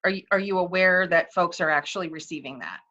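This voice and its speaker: Bright-voiced woman moderating a lo-fi call. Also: fairly low pitch, fast talker. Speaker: call moderator relaying questions. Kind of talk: informal moderated question-and-answer. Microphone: conference call line. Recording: dull, lo-fi conference call audio.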